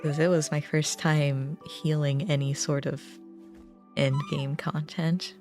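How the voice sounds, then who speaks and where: A woman's voice: sits low, steady tone, slow pace, darkish tone, soft background music. A woman livestreamer chatting casually with viewers in a rambling, self-deprecating way. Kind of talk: casual gaming stream chat.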